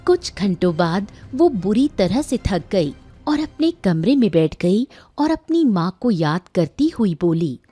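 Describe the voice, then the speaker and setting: Female cartoon narrator: unhurried, highly varied intonation, reading a scripted story. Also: dark tone.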